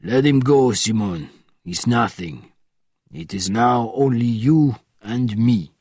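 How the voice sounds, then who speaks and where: A man voicing a huge, commanding crime boss with a formal, deliberate and quietly menacing manner in an audiobook. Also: medium pitch, husky, no echo. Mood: quietly threatening.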